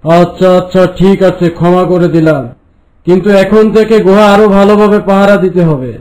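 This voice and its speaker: A man's voice: high voice, fairly even intonation, fairly quick, darkish tone, natural voice, rough recording. A man voicing a boastful, menacing demon master who gives orders in a fairy-tale cartoon. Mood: menacing, commanding. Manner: commanding, boastful.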